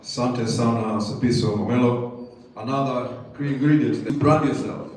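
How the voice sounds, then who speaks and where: A man's voice: medium pitch, moderate pace. A man hosting an event in a motivational style, exhorting the audience. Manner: exhorting, rhetorical questions. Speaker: event host, master of ceremonies. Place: echoey event hall with audience. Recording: very echoey, distant mic, faint noise.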